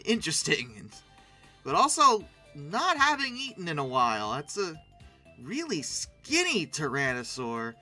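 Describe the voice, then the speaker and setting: A video host giving casual, humorous commentary in an expressive, emphatic way with wry, sarcastic asides. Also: medium pitch, measured pace, faint music behind.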